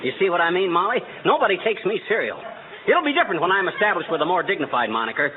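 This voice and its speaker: Blustering, lively American husband. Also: male voice, high voice, racing. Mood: indignant, stubborn. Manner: folksy, huffy, blustering. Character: stubborn, blustering middle-aged small-town husband. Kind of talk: 1940s radio comedy acting. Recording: tinny noisy vintage radio recording, audience.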